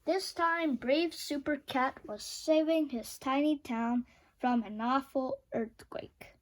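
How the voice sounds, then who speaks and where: A woman's voice: high-pitched, slow, rough recording. A woman reading a children's picture book aloud, expressively, with playful asides. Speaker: storybook reader.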